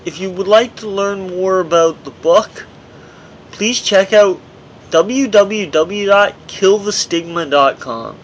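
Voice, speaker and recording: Male author narrating own memoir, slightly high voice, earnest, amateur recording with light background noise. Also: takes it slowly, lo-fi sound. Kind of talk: scripted audiobook narration.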